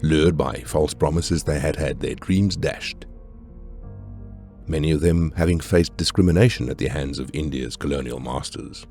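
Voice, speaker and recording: A man with an extremely deep voice narrates a history documentary in measured, grave tones, with music clearly playing under him. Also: darkish tone. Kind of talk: scripted narration.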